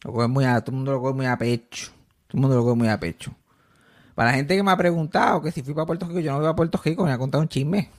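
A man's voice: mid-range voice, fast talker. A man from Texas hosting a podcast, chatting casually and telling gossipy stories while acting out the voices of the people he quotes. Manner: animated storyteller, acts out quoted voices. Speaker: podcast host.

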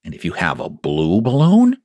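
A man's voice: deep voice, huge pitch swings, normal speed, dark tone, no room sound, studio quality. A man voicing a small, earnest toy bear from a children's storybook, ponderous and solemn as he schemes. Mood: thoughtful, solemn.

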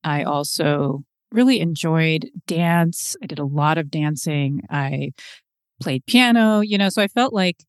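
A woman's voice: low-pitched, animated, measured pace, no room sound, clean studio sound. A middle-aged woman from Seattle, a podcast guest, reflecting on her life in a chatty, storytelling way. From Seattle, USA. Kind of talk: conversational interview.

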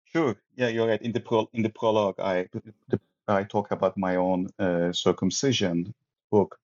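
A man's voice: fairly low pitch, fairly even intonation, normal speed, darkish tone, lo-fi sound. A man from Turkey with a Turkish accent, a sociologist talking about his research as an interview guest, hesitant with many restarts and fillers. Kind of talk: academic interview.

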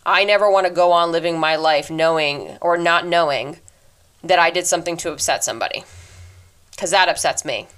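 A woman in her twenties hosting a solo podcast, talking earnestly and reflectively into her microphone, fast by habit, with a deep voice. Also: a bit bright. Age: 20s. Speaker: podcast host. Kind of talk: casual solo advice talk.

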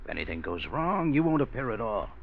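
An actor playing a smooth racketeer who makes veiled threats in insinuating tough-guy slang, in 1940s radio crime drama style. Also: medium pitch, big pitch swings, quick pace. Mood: sly menace. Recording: muffled old radio recording, faint noise.

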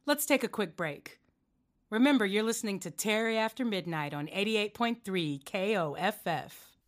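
A woman's voice: mid-range voice, animated, normal speed, lightly acted. A woman playing an earnest new late-night radio host. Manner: earnest, slightly prim. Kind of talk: audio drama acting.

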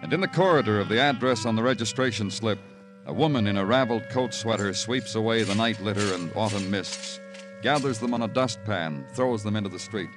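Man acting brooding New York detective, American, low voice, hard-boiled poetic delivery, old radio drama, music behind. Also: fairly quick, lightly acted. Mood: brooding, lyrical. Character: brooding, world-weary male New York police detective. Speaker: narrator and lead actor. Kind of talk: 1940s-50s radio crime drama acting.

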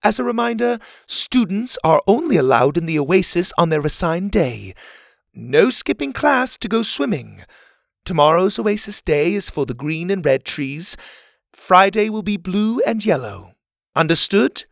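Male reader playing a silver-haired camp director lady: formal, slow, warm announcements, up high, gravelly edge. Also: big pitch swings, no room sound, studio quality, heavily acted. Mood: formal, welcoming. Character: friendly older woman camp director.

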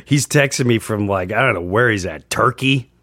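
A man hosting a podcast in casual chat, telling stories in an animated way and acting out what others said. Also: on the low side, normal speed, no room sound, clean studio sound. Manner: animated storyteller, acts out quoted remarks. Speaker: podcast host and comedian.

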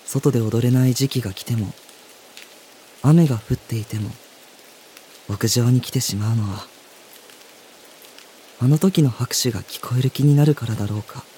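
A woman playing a reserved, blunt high school boy in a radio drama, speaking both curt dialogue and introspective narration. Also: normal speed, long pauses, mellow, slight background noise. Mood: wistful, introspective. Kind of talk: radio drama acting and first-person narration.

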